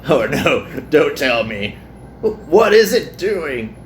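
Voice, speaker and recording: A man's voice: a little high, big pitch swings, takes it slowly, a little hiss or hum, voice pushed up, full character voice. A man voicing a weary, self-deprecating young male worker in a visual novel. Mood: alarmed.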